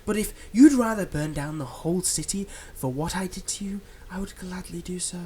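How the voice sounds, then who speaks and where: A man playing a manipulative, soothing male villain in an ASMR roleplay, speaking in a soft, coaxing and dramatic way with deliberate pauses. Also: high voice, husky. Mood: vengeful, intense. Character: manipulative, soothing male supervillain, tenderly possessive of his captive.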